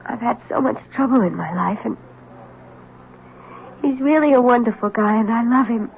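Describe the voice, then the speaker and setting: An actress playing a frightened, pleading young wife in a 1970s radio drama. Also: female voice, fairly high pitch, normal speed, creaky. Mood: troubled. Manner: pleading, anxious. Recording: tinny old radio broadcast with faint hiss.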